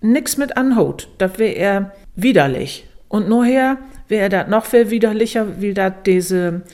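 A woman with a northern Low German accent, explaining informally as an expert in an interview. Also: expressive, a little slow, darkish tone.

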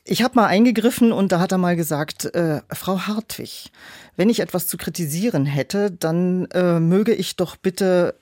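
A woman's voice: fairly low pitch, normal speed, darkish tone, dry recording. Considered middle-aged woman, dry studio sound. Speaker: audiobook producer, podcast guest. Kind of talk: casual interview storytelling.

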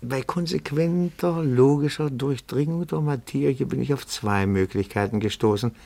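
An actor playing a timid, pedantic petty-bourgeois amateur detective in a radio play, speaking formally and deferentially with meticulous phrasing. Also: male voice, mid-range voice, gravelly, dark tone, dry recording.

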